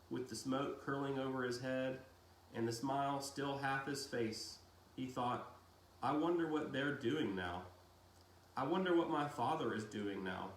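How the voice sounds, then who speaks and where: Slow-reading man in an echoey room. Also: medium pitch. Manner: deliberate, dramatic phrasing with pauses. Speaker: audiobook-style reader. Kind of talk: expressive storybook narration of a novel. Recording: roomy echo with faint hiss.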